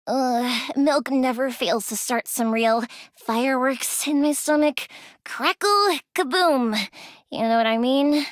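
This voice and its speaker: A woman's voice: high-pitched, dry recording. An actress voicing a cheerful, playful young woman who makes fireworks, in game character voice lines with a close studio mic. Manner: bubbly and lively, giggling, softens for serious stories.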